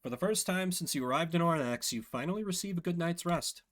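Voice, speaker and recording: A man's voice: fairly high pitch, expressive, brisk. A man reading scripted narration aloud from a game as a streamer. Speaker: streamer, solo voice performer.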